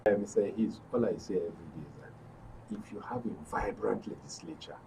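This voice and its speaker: A man's voice: low voice, normal speed, gravelly, warm tone. A man with a Nigerian accent giving political commentary as a guest, repeating phrases for emphasis. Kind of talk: political discussion. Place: radio and TV studio. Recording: distant mic, faint background noise.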